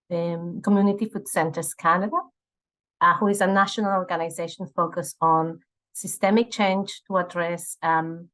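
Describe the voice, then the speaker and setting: Earnest woman from Ecuador, low voice. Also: pushed and bright, poor audio. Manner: earnest, many fillers and repeated words. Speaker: guest, nonprofit fundraising director. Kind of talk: conversational interview answers.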